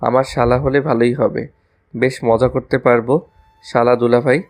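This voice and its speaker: Man acting even-toned lovestruck college student. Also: mid-range voice, moderate pace, lightly acted. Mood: dreamy musing. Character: lovestruck young college student, casual, grumbling. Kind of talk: romantic audio story acting.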